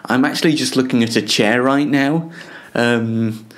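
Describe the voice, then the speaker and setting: A man's voice: medium pitch, unhurried. A young man hosting a casual show in a self-deprecating way, stumbling over and repeating the starts of his phrases. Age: young adult. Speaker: host. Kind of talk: casual self-interview and chat to viewers.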